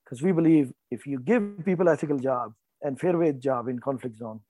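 A man's voice: medium pitch, fairly quick, mellow, no echo, low-quality recording. A man in his forties from North India with an Indian accent, a guest telling his story in a rambling, self-correcting way. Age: 40s. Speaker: guest, farmer and entrepreneur. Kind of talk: casual interview talk.

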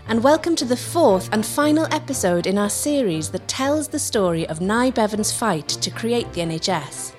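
A woman narrating a history documentary in a clear storytelling manner. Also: medium pitch.

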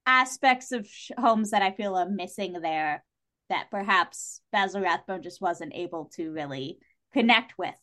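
A woman talks as a guest on a casual podcast, with a medium-pitched voice. Her delivery is informal and animated. She states her opinions with emphasis. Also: a little slow. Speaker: podcast guest discussing a TV series.

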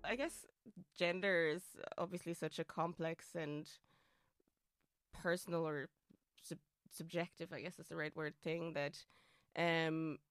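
A non-binary speaker with a feminine voice in their late twenties, from Austria with an Austrian German accent and German as a first language, talking through a thoughtful interview with many fillers and restarts. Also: female voice, sits low, steady tone, a little slow, pauses often, no room sound, faint music behind. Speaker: guest. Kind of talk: casual interview chat.

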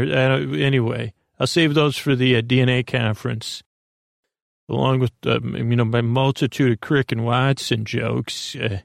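A man with a creaky voice hosting a sleep podcast in a meandering, halting monologue, often interrupting himself. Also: mid-range voice, no echo, clean studio sound.

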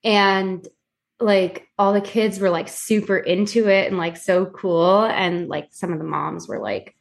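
A woman in her twenties, a guest on a podcast, telling stories animatedly with many fillers and slowing down to explain. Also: mid-range voice, creaky. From Filipino heritage. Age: 20s. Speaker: podcast guest, psychic reader. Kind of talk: casual interview chat.

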